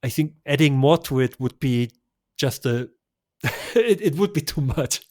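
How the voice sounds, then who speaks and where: A man's voice: mid-range voice, animated, a little slow, a little mellow, no room sound. Enthusiastic male travel guest, dry sound. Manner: enthusiastic, effusive recommendations with small laughs. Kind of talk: conversational travel interview.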